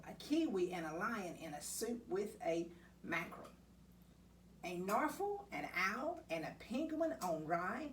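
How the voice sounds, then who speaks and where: A woman reading a children's picture book aloud, with dramatic, expressive delivery and deliberate pauses. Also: mid-range voice. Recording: distant mic, faint background noise.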